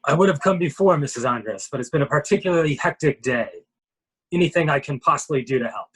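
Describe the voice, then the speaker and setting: A courteous army major acted by a man, husky and fairly high in pitch. He talks politely and a little hesitantly, with an apologetic air, in a mystery drama reading. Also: lively intonation, fast, fairly bright tone, low-quality recording.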